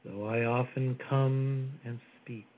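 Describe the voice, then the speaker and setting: A man delivering a channeled spiritual message in a soft, solemn, measured way with long pauses. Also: mid-range voice, steady tone. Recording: phone-like audio with faint hiss.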